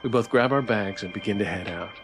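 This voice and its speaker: A man's voice: on the low side, fast. A man streaming a game live, reading its story text and chat aloud with music behind him.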